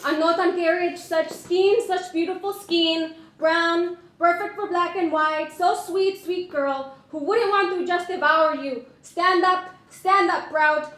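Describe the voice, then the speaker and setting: High slow voice, roomy echo; woman as young actress reading diary notes, cracking into laugh-sobs. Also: fairly even intonation, fairly bright tone. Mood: measured. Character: young woman actress unraveling under a harsh coach. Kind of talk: audio drama acting.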